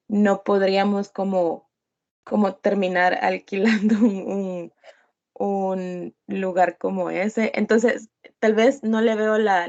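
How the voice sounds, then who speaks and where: A woman's voice: mid-range voice, a little mellow. A young woman, a student hesitantly asking a question in class. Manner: hesitant, many fillers and restarts. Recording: dull lo-fi audio.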